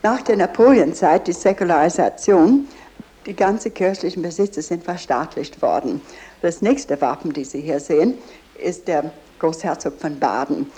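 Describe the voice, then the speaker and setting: A woman's voice: lowish voice, moderate pace, dark tone, poor audio. A woman giving guided tour commentary, explaining as she goes in slightly unidiomatic phrasing.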